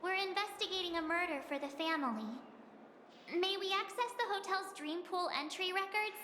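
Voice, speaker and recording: Squeaky, perky little companion. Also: female voice, normal speed, pushed and bright, slight background noise. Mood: curious. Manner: perky, blunt. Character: tiny, childlike, excitable, sassy companion. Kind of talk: video game voice acting.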